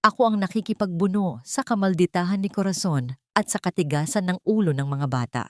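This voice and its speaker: A woman's voice: on the low side, a little fast, no echo, clean studio sound, close to an everyday voice. A woman voicing a manipulative rich wife with a sweet, syrupy voice, pleading in a wounded, self-pitying way in an audio drama. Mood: aggrieved.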